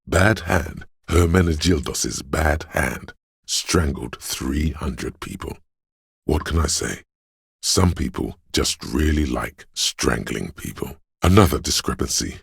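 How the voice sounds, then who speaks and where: A man voicing a know-it-all inner voice of encyclopedic trivia in a video game, measured, pedantic and dryly wry. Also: a bass voice, animated, creaky, slightly rough, no echo, clean studio sound, heavily acted.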